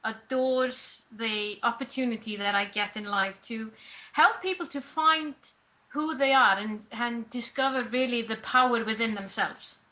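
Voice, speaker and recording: A woman from Iceland, whose first language is Icelandic, answering interview questions as a personal branding coach, pausing and restarting as she thinks. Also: on the high side, pushed and bright. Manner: reflective, pauses and restarts mid-thought.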